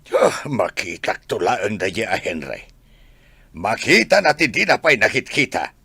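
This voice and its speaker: A man's voice: lowish voice, very animated, unhurried, creaky, bright, forceful tone, slightly rough, dry recording, exaggerated voice. A man playing a cheeky, needling fellow in a radio drama, teasing in a Filipino accent.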